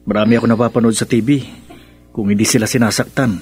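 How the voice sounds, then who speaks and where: An actor playing a devoted, worried young soldier in love, earnest and hesitant in a radio drama. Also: male voice, lowish voice, fairly even intonation, fast talker, a little mellow, slightly rough. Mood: tender, worried. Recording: music under the voice. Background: music.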